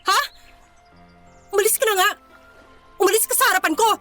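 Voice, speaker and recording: A woman's voice: very high voice, fairly even intonation, brisk, bright, forceful tone, soft background music. A Filipino woman playing a proud, wounded young working-class heroine in a radio drama, speaking with earnest emotion. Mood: indignant, defiant.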